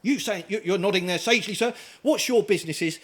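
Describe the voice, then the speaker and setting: A middle-aged man giving an energetic conference talk, firing questions at the audience. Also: high voice, brisk. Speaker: conference speaker. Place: conference hall.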